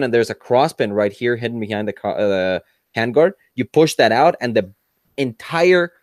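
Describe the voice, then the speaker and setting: A man's voice: medium pitch, no echo. Brief: young guy on a headset, dry close sound, eager show-and-tell, lots of "uh" and "like". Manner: excitable, lots of fillers and restarts. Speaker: panelist showing a rifle. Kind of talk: casual chat, show-and-tell demo. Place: cluttered workshop indoors. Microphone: headset, video call.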